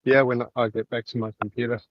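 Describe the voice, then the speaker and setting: A man from New Zealand with a New Zealand accent, chatting casually on a voice call while walking outdoors, halting and full of fillers. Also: mid-range voice, level tone, no echo, highs cut off. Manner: rambling, halting, many fillers.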